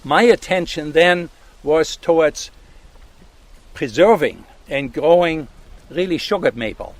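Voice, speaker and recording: An older man speaks with a German accent, slightly high in pitch for a man. He explains his woodland in a halting, searching way, full of pauses and restarts, outdoors among the trees. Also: dry recording. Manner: halting, many fillers and restarts. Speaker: landowner. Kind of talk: casual on-site explanation. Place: outdoors in a forest.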